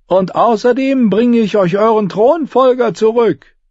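Man playing a high-pitched, loud ship captain. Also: a little slow, dry recording, dull audio. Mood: boastful. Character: loud merchant ship captain hawking his cargo. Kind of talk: audiobook character voice.

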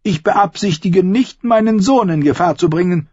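A man voicing an imperious, anxious noble lady who talks haughtily and briskly. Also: a little mellow, slightly rough, no room sound, highs cut off. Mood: haughty. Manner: haughty, brisk, high-strung.

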